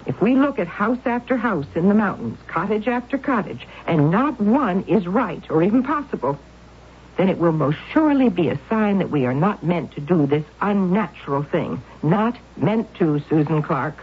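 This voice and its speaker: An actress playing Aunt Tim, an anxious, God-fearing aunt who pleads and frets over a risky plan. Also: female voice, on the low side, animated, normal speed, slightly rough. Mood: pleading. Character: fretful, pious older aunt, anxious and doubtful. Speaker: supporting character. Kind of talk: 1970s radio drama acting. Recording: muffled old radio broadcast with hiss.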